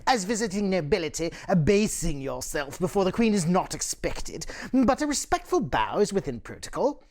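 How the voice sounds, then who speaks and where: A gnome royal secretary, acted out by a woman in a fantasy tabletop game, speaks with ceremonious courtesy. The voice is a little low and very animated in pitch, always deferential and correct. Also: moderate pace. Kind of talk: in-character tabletop roleplay.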